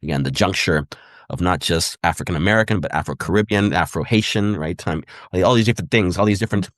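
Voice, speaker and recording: Middle-aged man from Puerto Rico, low voice, quick reflective guest answers, dry close mic. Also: no echo. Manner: thoughtful, repeats "right?" and "again". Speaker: guest historian. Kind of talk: conversational interview answers.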